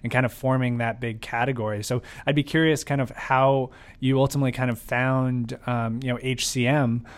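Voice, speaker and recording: A man's voice: mid-range voice, level tone, normal speed, hardly any pauses. A man hosting a podcast, asking questions in a casual, rambling and hesitant way. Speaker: podcast host, interviewer.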